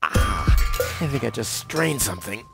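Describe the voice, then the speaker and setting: A man voicing a cocky, scheming young dreamer in an animated adventure game, boastful, sarcastic and theatrical. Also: mid-range voice, moderate pace, vocal fry. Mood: grumbling. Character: cocky, scheming, self-assured young male junkyard dreamer. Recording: loud music behind.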